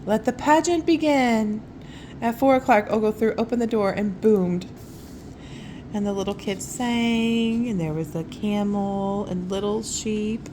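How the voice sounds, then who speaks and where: A woman reading a children's story aloud in a casual, expressive way, with conversational asides. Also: mid-range voice, a little slow, a little hiss or hum, poor audio. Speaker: storytime reader.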